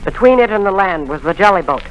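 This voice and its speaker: A man's voice: high voice, animated, fast, highs cut off. An actor playing an earnest young male cabin boy who narrates his own adventure in a 1930s radio drama. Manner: eager, storytelling narration. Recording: hissy old radio broadcast.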